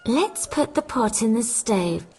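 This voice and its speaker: A woman voicing a kind, gentle, helpful young woman in a children's fairy tale, speaking in a sweet, earnest, polite way. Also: on the high side, lively intonation, unhurried, slightly rough, full character voice. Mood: cheerful.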